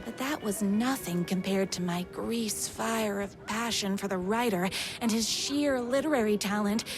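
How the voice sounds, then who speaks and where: An actress playing a bubbly, self-adoring waitress and obsessed superfan in a comic game, gushing and dreamy. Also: female voice, mid-range voice, measured pace, over music, natural voice. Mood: dreamy, smug. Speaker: narrator and lead character. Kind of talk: comic scripted narration and game dialogue.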